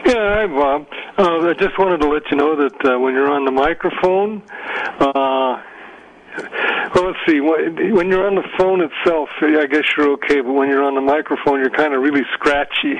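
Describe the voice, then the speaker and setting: A man's voice: a little high, moderate pace, lo-fi sound. A man calling in to a radio show on his phone, chatting in an easygoing, somewhat hesitant way. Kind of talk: casual phone chat. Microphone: telephone held to the ear.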